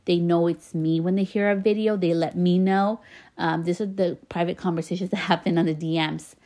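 Woman podcast host, slight Spanish-tinged accent, earnest and encouraging, a touch low-pitched. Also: steady tone, a little fast, dark tone. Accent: slight Spanish-influenced. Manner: earnest, encouraging, laughs between phrases. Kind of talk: casual motivational monologue.

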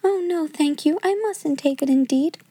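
A woman plays a refined young girl from a storybook, gentle and polite despite her poverty. The voice rises high and soft over a warm, dry tone. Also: moderate pace, no room sound, natural voice. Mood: demurring. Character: proud, well-bred poor young girl.

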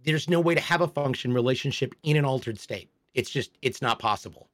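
A man's voice: mid-range voice, brisk. A man in his forties, a podcast co-host, telling a personal story in a candid, reflective way. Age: 40s. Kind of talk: casual chat.

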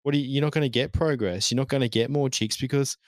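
A man's voice: mid-range voice, fast talker, no echo, clean studio sound. A man, co-hosting a casual podcast chat, blunt and sweary. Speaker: podcast co-host.

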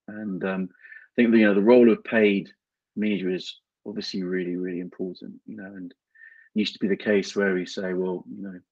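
Husky-voiced man hosting a podcast. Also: low-pitched, a little mellow, no room sound. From England. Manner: halting, many restarts and fillers. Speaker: podcast host. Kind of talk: casual interview chat. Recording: dull lo-fi remote call audio.

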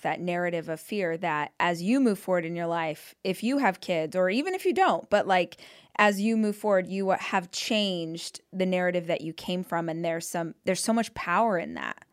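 A woman's voice: on the low side, clear, resonant tone, no room sound, studio quality. A woman in her thirties hosting a podcast, talkative and enthusiastic, with lots of "like". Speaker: podcast host. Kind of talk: casual interview.

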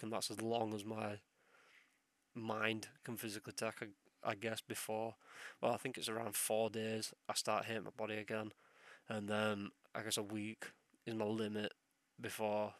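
A man's voice: lowish voice, fairly bright tone, dry recording. Sick young man rambling, sweary. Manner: rambling, sweary, loses train of thought. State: sick, sore throat, sniffly. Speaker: podcast host. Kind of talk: solo casual podcast ramble.

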